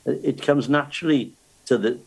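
A man's voice: medium pitch, brisk, breathy edge, rough recording. An older man, chatting casually and telling stories about learning the language. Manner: storytelling, with restarts. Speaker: guest. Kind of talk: casual chat.

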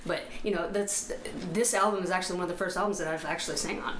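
A woman in a band, chatting casually in an interview and telling lively stories, her voice jumping up when she gets excited. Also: lowish voice, fast talker. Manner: animated storyteller, voice jumps up when excited. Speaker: band member and singer. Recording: echoey room with background noise.

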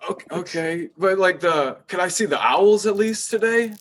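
A man's voice: high voice, lively intonation, normal speed. A man playing a meek, eager new wizard employee in an improvised comedy sketch, stammering earnestly and hesitantly. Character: meek, eager male wizard newcomer starting a job.

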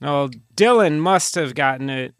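A man's voice: on the high side, expressive, unhurried, smooth, no room sound, clean studio sound. A man hosting a casual podcast, bantering in a rambling, dryly joking way. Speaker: podcast host.

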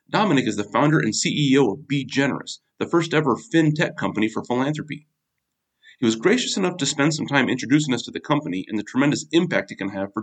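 Fast-talking male podcast host, mid-pitched, friendly, conversational. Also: breathy edge. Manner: brisk scripted intro, then friendly interjections. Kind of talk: podcast interview.

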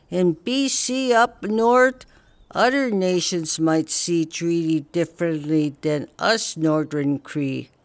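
Man voicing a patient old cree elder, slow teaching speech, dry close sound. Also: on the high side, clear, resonant tone, no room sound. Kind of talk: audio drama acting.

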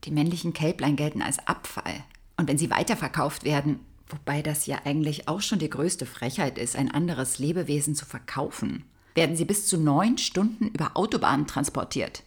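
A woman's voice: deep voice, normal speed, husky. An actress playing a grave, accusing female police investigator in an audio drama, speaking with emphatic moral conviction. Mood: grave, appalled.

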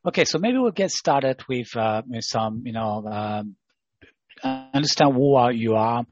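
A man hosting a podcast, introducing guests and asking questions in a halting way, with frequent fillers and restarts. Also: medium pitch, animated, a little mellow, dry recording, lo-fi sound, soft background music. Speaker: podcast host.

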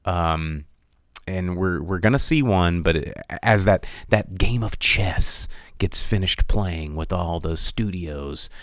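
Smooth-voiced, rambling male podcaster. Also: sits low, takes it slowly, no room sound, clean studio sound. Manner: rambling, animated, sarcastic asides. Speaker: podcast host. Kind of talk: casual solo commentary.

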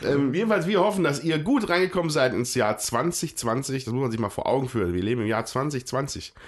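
Male co-host, stuffy nose, chatty and rambling, animated pitch. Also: fast talker. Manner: rambling, self-correcting, chuckling. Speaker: podcast co-host. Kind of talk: casual chat about board games.

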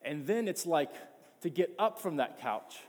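Impassioned pastor with hall echo. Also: male voice, a little high, expressive, unhurried. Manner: passionate, emphatic, repeats words as he builds. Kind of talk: sermon.

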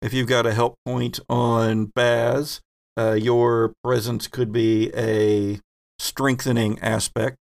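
A man narrates a tabletop game as its game master, taking his time over every description. His voice has a gravelly edge. The sound is dry, with no room around it. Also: mid-range voice, flat delivery, no room sound. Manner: slow, descriptive narration with rules explanations.

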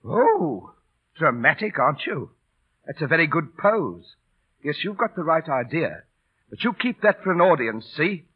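An actor in an old radio drama playing a volatile, streetwise street performer with a rough voice and bossy, ungrammatical talk. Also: male voice, on the high side, big pitch swings, a little slow, full character voice. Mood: stern, scornful. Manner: bossy, emphatic, ungrammatical working-class talk. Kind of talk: 1930s-40s radio drama acting. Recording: tinny, band-limited vintage radio broadcast.

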